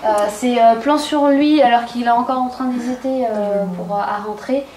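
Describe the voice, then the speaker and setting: A woman's voice: on the high side, steady tone, normal speed, a little mellow. A woman directing a short film shoot late at night, talking through the shots with many fillers and calling the takes. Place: film set indoors at night. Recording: roomy, from a distance, crowd noise.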